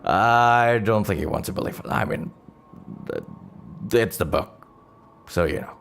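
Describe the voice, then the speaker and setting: A man's voice: on the low side, lively intonation, measured pace, gravelly, a bit bright, a little hiss or hum, full character voice. A man role-playing an aging, money-minded adventurer who mutters and rambles in mild exasperation. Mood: doubtful, grumbling. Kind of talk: improvised role-play.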